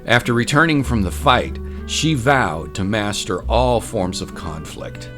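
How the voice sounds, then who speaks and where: A man with a low voice narrates a story in measured, literary phrases. Guitar music plays clearly behind the scripted narration. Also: expressive.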